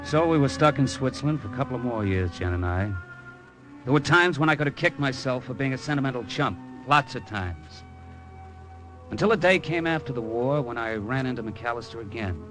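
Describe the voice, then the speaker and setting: An actor playing a tough, cynical gambler with a sentimental streak in a laconic, slangy old radio drama style. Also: male voice, mid-range voice, expressive, fairly quick, music in the background, lightly acted. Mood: wry, reflective. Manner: hard-boiled, slangy, laconic. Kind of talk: 1950s radio drama acting.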